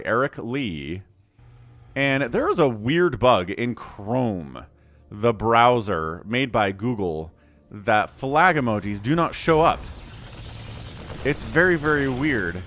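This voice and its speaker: A man's voice: mid-range voice, a little hiss or hum. A man casting a strategy video game replay in a casual, jokey play-by-play style, chatting between the action. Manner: animated, chatty, jokey, with laughs and hummed fillers. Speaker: game shoutcaster.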